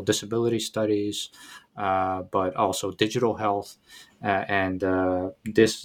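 A man from the Netherlands with a Dutch accent, a researcher guest speaking haltingly with many fillers and a self-deprecating tone. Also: lowish voice. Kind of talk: casual interview talk.

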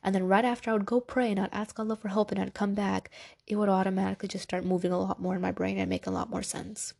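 Congested young woman, mellow soothing voice. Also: mid-range voice, flat delivery, quick pace, creaky. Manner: chatty, direct, addresses listeners as girl and y'all. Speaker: podcast host. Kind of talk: casual motivational talk.

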